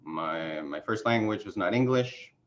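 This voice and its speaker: Middle-aged man from Mexico, very low voice, halting and rambling with lots of fillers, muffled lo-fi video call audio. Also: lively intonation, a little hiss or hum. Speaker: party chair candidate. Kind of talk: casual conversational self-introduction.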